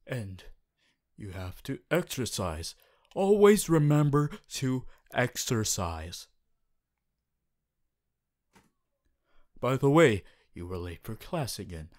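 A man's voice: mid-range voice, sing-song, slow pace, darkish tone. A man voicing a strict, earnest teenage class president, formal and preachy, in an audio roleplay. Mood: stern, formal. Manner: formal, preachy, exasperated, stiff phrasing. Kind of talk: ASMR character audio roleplay monologue.

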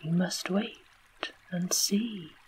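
A talking wolf's head button delivers grim warnings in a hushed, level whisper, as a storybook reader voices it. The words come slowly and steadily, close to the reader's own plain voice. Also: medium pitch, slight background noise, low-quality recording. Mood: solemn. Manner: whispered, solemn.

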